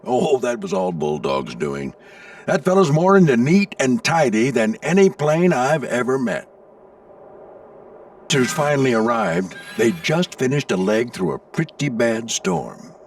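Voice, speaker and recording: An actor playing a seasoned navy plane, a gruff, folksy mentor telling stories with authority in an animated video game. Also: male voice, mid-range voice, a little slow, distant mic. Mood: storytelling. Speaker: commanding instructor recounting past races.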